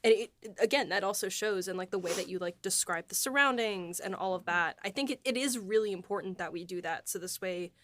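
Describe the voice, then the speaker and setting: A woman's voice: mid-range voice, fairly quick, hardly any pauses, a bit bright, slight background noise. A woman co-hosting a podcast, chatting with enthusiasm and frequent restarts. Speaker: podcast co-host and producer. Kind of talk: casual chat.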